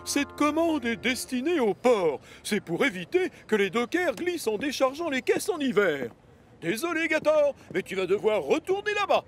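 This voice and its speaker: Very high, swooping bossy railway chief. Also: male voice, big pitch swings, moderate pace, a little hiss or hum. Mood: urgent, bossy. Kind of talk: French-dubbed children's cartoon acting.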